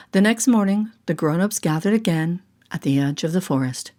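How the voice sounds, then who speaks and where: A woman reading a children's story in a theatrical, deliberate narrating style. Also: medium pitch. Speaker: storyteller, voice actor.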